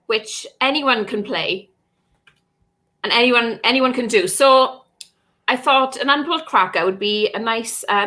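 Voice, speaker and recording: A woman's voice: a little high, pushed and bright. A woman in her twenties, a comedian guest making self-mocking jokes over a video call from home. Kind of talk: self-mocking comic answer. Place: home room.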